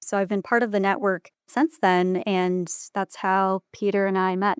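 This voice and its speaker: Crisp-voiced woman answering as interview guest. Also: medium pitch, fairly even intonation, normal speed, dry recording, studio quality. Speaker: clinician and designer, podcast guest. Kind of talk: reflective interview talk.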